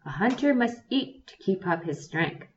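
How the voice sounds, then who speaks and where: A woman's voice: on the low side, very animated, moderate pace. A woman voicing a demanding male hunter bear in a storybook tale. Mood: bossy. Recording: distant, dull lo-fi recording.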